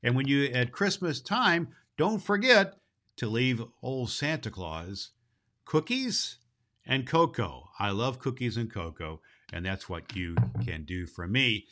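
Kindly old Santa Claus acted by a man, children's show host, folksy and rambling, bright voice with very lively pitch. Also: slow. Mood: cheerful, kindly. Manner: folksy, rambling, emphatic, repeats himself.

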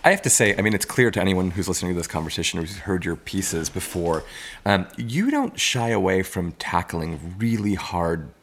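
A man's voice: sits low, animated, moderate pace, a bit bright, breathy edge. A man co-hosting a podcast, asking earnest questions and poking fun at his own pronunciation. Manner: earnest, self-deprecating, stumbles over words. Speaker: podcast co-host. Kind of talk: podcast interview.

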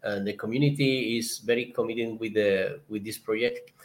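Halting Colombian-accented man. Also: on the low side, fairly even intonation. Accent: Colombian Spanish. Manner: halting, searching for words, frequent restarts. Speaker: guest, conservation project member. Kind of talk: conversational interview answer.

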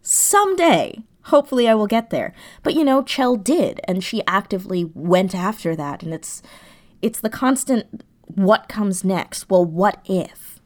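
Effusive, slow-paced woman interview guest. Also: mid-range voice, expressive. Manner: effusive, giggly, talks in halting bursts. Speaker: interview guest, audio drama creator. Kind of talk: casual interview chat.

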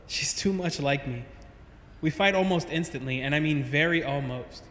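A man's voice: on the high side, normal speed, a bit bright, roomy sound, in a large hall, slight background noise, natural voice. An actor playing a middle-aged, self-deprecating estranged father in an audio drama, dry and hesitant. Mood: dry, rueful. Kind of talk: naturalistic audio drama acting.

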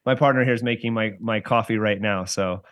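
A man from the San Francisco Bay Area talking casually as a podcast guest, in halting, rambling explanations. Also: lowish voice, no room sound. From San Francisco Bay Area, United States. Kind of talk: casual interview talk.

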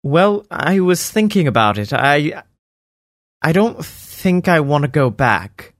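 A man's voice: fairly high pitch, big pitch swings, takes it slowly, no room sound, studio quality. A man voicing a nervous, sarcastic young wizard boy. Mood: wary. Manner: nervous, snarky.

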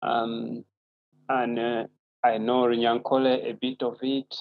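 A man's voice: medium pitch, level tone, slow, creaky, dry recording, narrow, tinny audio. A man in his thirties with a Ugandan accent, a native Acholi speaker, answering as an interview guest in a polite, thoughtful way. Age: 30s. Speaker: guest author.

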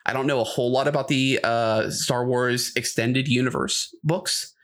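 A man's voice: mid-range voice, steady tone, moderate pace, fairly bright tone. A man co-hosting a podcast, chatting casually and giving opinions in an informal way. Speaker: podcast co-host. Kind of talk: casual discussion chat.

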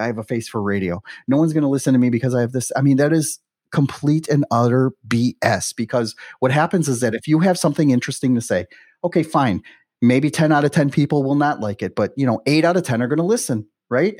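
A man's voice: mid-range voice, a little mellow, no room sound. Chatty man hosting, dry close sound. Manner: chatty, peppers speech with "you know" and "right". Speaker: podcast host. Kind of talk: conversational interview talk.

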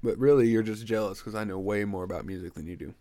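A young man with a congested, stuffy nose rambles through a solo podcast, crude and joking as he wanders off on tangents. His voice sits fairly low, and the recording is dry and close with no room sound. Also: quick pace, warm tone, no echo. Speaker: podcast host.

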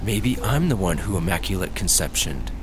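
Wildly animated, goofy space cop. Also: male voice, deep voice, normal speed, noisy background. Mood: awed. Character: excitable, goofy male space cop sidekick. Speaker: voice actor. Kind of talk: comedy sci-fi audio drama acting.

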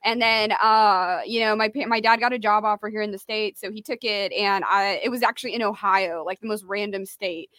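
A woman's voice: medium pitch, fairly even intonation, a little fast, hardly any pauses, fairly bright tone, dry recording. A woman from Mexico, a rock artist chatting as an interview guest from her home studio, talking loosely with lots of "like" and frequent laughs. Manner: chatty, many "like" fillers, laughs often. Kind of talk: casual interview chat.

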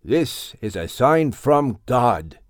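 A man voicing a devout old father and patriarch in an audiobook story, speaking in a measured, weighty, reverent way. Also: mid-range voice, sing-song, dry recording, clean studio sound. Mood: solemn awe.

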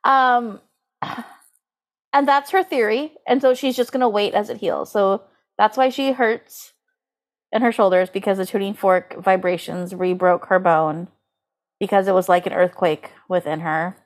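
A woman's voice: medium pitch, steady tone, unhurried. A woman co-hosting a podcast in casual comedic chat, talking informally and incredulously, sometimes reading aloud with drama. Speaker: podcast co-host.

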